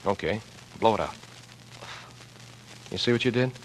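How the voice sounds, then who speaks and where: A man's voice: fairly low pitch, normal speed, lightly acted. An actor playing an anxious, earnest young American man turned amateur sleuth in 1940s radio drama, speaking in terse, clipped deductive phrases. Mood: intent, explaining. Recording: noisy old radio drama recording.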